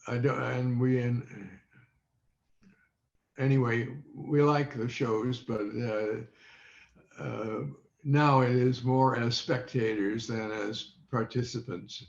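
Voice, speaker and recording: An older man speaking as an interview guest on a video call, halting and often searching for words. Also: medium pitch, fairly even intonation, gravelly. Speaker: interview guest, former quiz show contestant. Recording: muffled, echoey video call audio.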